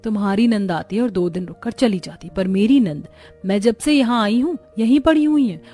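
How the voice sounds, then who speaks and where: A woman voicing a resentful, sharp-tongued young married sister-in-law in a cartoon story, in a complaining and scolding manner. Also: medium pitch, moderate pace, close to an everyday voice. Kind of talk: Hindi cartoon story dialogue. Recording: music behind the voice.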